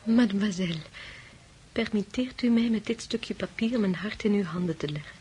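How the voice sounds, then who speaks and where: A woman's voice: mid-range voice, a little mellow, slight background noise, natural voice. A woman playing a timid, polite young lady companion in a radio drama, formal and hesitant.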